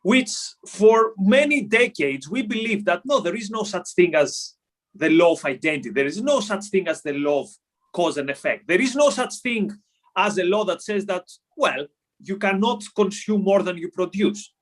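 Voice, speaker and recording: A man with a Greek accent talks through an economics topic as a podcast host. His voice sits fairly high for a man, and he moves between quick bursts and slower stretches, with frequent fillers and restarts. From Greece. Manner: animated, with many fillers and restarts. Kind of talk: solo explainer talk.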